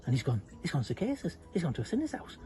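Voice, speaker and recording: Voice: medium pitch, huge pitch swings, very fast, mellow, voice pushed up. A storyteller doing gossiping, self-righteous townsfolk. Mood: indignant. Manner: indignant and gossipy.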